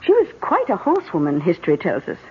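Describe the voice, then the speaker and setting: A woman's voice: on the low side, huge pitch swings, quick pace, husky, heavily acted. Arch British lady, tinny radio. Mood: storytelling. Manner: arch, breezy, genteel understatement. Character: worldly upper-class British older lady, wry storyteller. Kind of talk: radio drama acting. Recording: tinny narrowband radio drama, faint music.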